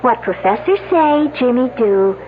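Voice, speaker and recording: An actress playing a sharp-tongued, suspicious young female student in a radio mystery drama, cutting and sarcastic. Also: fairly high pitch, animated, measured pace. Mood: bitter, cutting. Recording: tinny, faintly noisy old radio.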